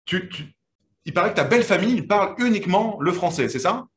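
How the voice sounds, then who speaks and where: A man's voice: sits high, lively intonation, quick pace, a bit bright, roomy sound. A man hosting an online quiz final, interviewing the winners in a friendly, hesitant way with many fillers. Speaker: host.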